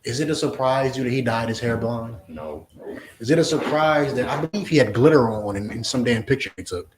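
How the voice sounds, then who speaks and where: A man preaching a forceful lesson to a class, often asking if they understand. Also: mid-range voice, lively intonation, a little fast. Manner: forceful, repeats "you understand?". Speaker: religious teacher. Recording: echoey room.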